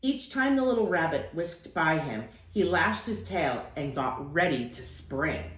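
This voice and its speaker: A woman reads a picture book aloud for young children. Her delivery is expressive and animated, with plenty of rise and fall in pitch. The recording has a noticeable room echo around her voice. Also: unhurried.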